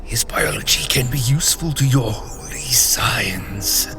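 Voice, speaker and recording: An actor playing an oily, sinister alien schemer with a wry manner and a breathy, whispering voice in an audio drama. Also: male voice, mid-range voice, measured pace, natural voice. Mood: sinister, coaxing. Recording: very loud music under the voice.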